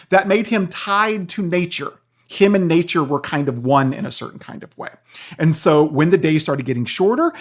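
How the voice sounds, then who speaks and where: A man explains ideas in a conversational podcast style. His delivery is animated and story-driven, and he keeps checking that the listener follows. He speaks as a knowledgeable guest expert. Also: a little high. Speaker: guest, philosophy professor and author.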